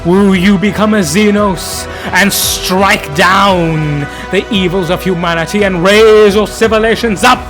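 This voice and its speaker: A man delivering dramatic scripted lore narration, grave and portentous, in archaic oratorical phrasing with dramatic pauses. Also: high voice. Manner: grave, theatrical, portentous, addressing the listener as traveler. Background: background music.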